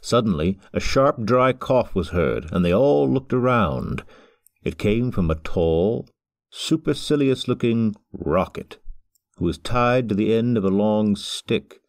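A man narrating a storybook audio drama in a measured, wry manner. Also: lowish voice, animated, vocal fry, darkish tone, no room sound, clean studio sound.

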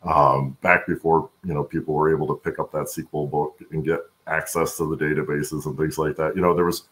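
A man with an extremely low, gravelly voice talks as a guest on a video call. He answers in a conversational way, like an experienced executive explaining his field. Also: little pitch movement, brisk, a bit bright. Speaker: podcast guest, supply chain executive. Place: indoor room at home, on a video call.

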